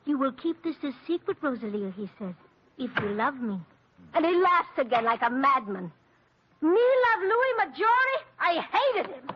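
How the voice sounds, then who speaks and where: A woman's voice: high-pitched, very animated, normal speed, noisy background. An actress playing a passionate, scornful young Italian-American woman spurned in love, in theatrical 1940s radio drama style. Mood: agitated, mocking. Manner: theatrical, emotional, repeats words for emphasis. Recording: dull old radio drama recording.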